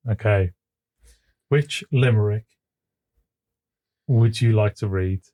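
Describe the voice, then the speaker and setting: Smooth-voiced man, mellow and low. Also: takes it slowly. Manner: chuckles often, repeats words as he starts sentences. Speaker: podcast co-host. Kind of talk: casual chat. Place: home office at his computer. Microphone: desk microphone.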